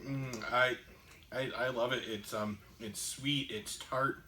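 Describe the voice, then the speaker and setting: A man co-hosting a casual podcast, explaining board game rules in a halting way with lots of ums and restarts. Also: mid-range voice, steady tone, noisy background. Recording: echoey room, distant mic.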